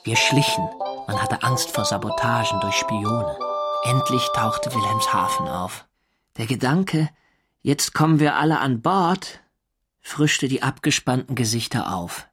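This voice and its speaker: An actor plays a wartime sailor narrating his own diary in a radio drama. The voice is mid-range for a man. He speaks in measured literary sentences, observing everything with dry wit. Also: medium pitch, over loud music, close to an everyday voice. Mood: weary, observant. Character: diarist, male navy sailor recounting wartime service.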